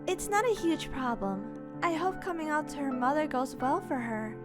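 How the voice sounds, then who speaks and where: A woman playing a caring, supportive older sister with a gentle, reassuring manner in an audio drama reading. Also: high voice, animated, normal speed, music in the background. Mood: concerned, supportive.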